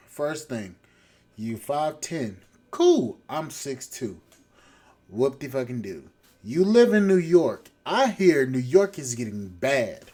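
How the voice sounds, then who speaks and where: A man hosting a podcast, reacting to a dating show clip with crude, mocking commentary. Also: mid-range voice. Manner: mocking, crude, loud laughing outbursts.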